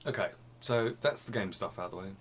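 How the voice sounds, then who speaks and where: Young man, very low voice, sniffly, rambling casual chat, faint room hiss, home desk camera mic. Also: quick pace. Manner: rambling, restarts phrases, laughs often. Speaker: vlogger.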